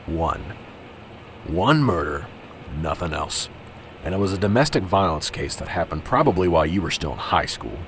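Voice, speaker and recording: A man's voice: low voice, very animated, moderate pace, fairly bright tone. A man playing a cynical, weary veteran police officer in an amateur radio drama, grumbling, sarcastic and dismissive. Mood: cynical, pointed. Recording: clearly noisy, vehicle-like background ambience.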